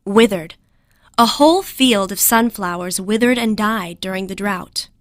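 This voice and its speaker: A woman's voice: mid-range voice, a little slow, no room sound, studio quality. A woman reading words, example sentences and definitions in a scripted educational style. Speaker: vocabulary lesson narrator.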